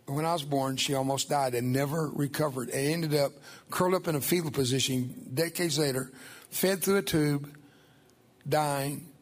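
An older man gives a testimony to a church congregation, his first language Spanish. He tells his story in a folksy, emphatic way, with a voice set somewhat high for a man. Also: normal speed. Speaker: guest speaker.

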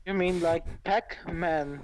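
A man from Germany co-hosts a podcast with dry, teasing remarks. His audio carries a clear layer of background noise. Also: on the high side, steady tone, takes it slowly. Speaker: podcast co-host. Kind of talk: casual comedic chat.

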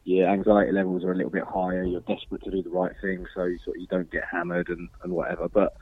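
A young man, a footballer, answering interview questions in a relaxed, hesitant way with many ums and restarts. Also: sits low, fast talker, slightly rough, dry recording. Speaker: professional footballer, guest. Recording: dry, tinny phone-like line.

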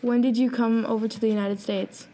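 A young woman asking prepared interview questions in a soft-spoken, scripted way. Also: fairly high pitch, fairly even intonation, brisk, crowd noise.

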